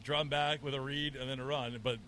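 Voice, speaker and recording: A man co-hosting a podcast, talking sports excitedly with lots of stammered restarts. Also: medium pitch, fairly quick, a little hiss or hum. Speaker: podcast co-host. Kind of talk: casual sports chat. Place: sports bar.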